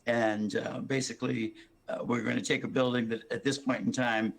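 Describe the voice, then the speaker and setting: A hoarse man presenting his building plan to a town planning board, rambling with many restarts and fillers. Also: medium pitch, level tone, normal speed. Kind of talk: public meeting testimony.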